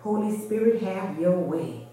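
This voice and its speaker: A woman leads prayer for a congregation in a mellow voice. She speaks in short, fervent phrases full of repeated invocations. The room around her rings with heavy echo. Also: mid-range voice, expressive, unhurried, slight background noise. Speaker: church member leading prayer. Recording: very echoey reverberant room.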